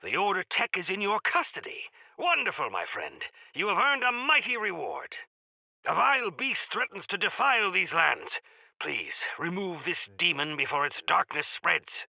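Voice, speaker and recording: An actor playing a pompous, grandiloquent heroic quest-giver in a video game, with florid, theatrical phrasing. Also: male voice, high-pitched, big pitch swings, normal speed, creaky, sharp tone, dry recording, exaggerated voice, rough recording. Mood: triumphant, grand. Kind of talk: video game character voice lines.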